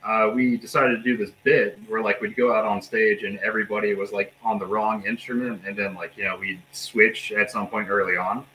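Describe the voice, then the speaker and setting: Pennsylvania man, band member, lowish voice with a tense bright edge, rambling. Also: level tone, brisk, few pauses, slight background noise, poor audio. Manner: loose, rambling with fillers like "you know". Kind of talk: casual interview chat.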